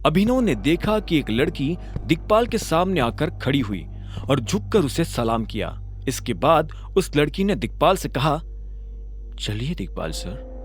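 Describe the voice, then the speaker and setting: A man's voice: a little high, sing-song, normal speed. A man narrating a scripted audio drama with dramatic storytelling.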